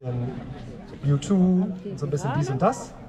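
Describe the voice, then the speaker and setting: A man's voice: a little high, lively intonation, normal speed. A man hosting a neighbourhood party, speaking casually with many fillers. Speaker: event host. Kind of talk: stage announcement. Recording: crowd noise, distant outdoor mic.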